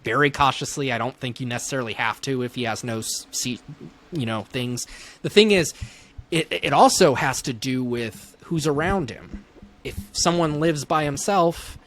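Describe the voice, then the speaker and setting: Guy doctor being interviewed, talking through clinical stuff off the cuff, medium pitch. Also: normal speed, a bit bright, a little hiss or hum. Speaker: neurologist, interview guest. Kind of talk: explanatory medical conversation.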